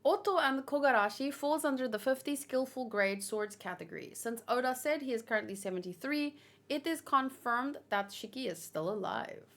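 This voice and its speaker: A woman doing casual livestream commentary, reading aloud and reacting in a chatty, expressive way. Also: sits high, moderate pace. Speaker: manga read-along streamer.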